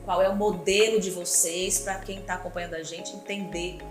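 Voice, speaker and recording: A woman's voice: fairly low pitch, fairly even intonation, normal speed. A woman hosting a school podcast, chatting casually and enthusiastically with her guests and calling out to listeners. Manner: enthusiastic, affirming, addresses listeners as "minha gente". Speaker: podcast host. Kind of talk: casual interview chat. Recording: echoey room with background music.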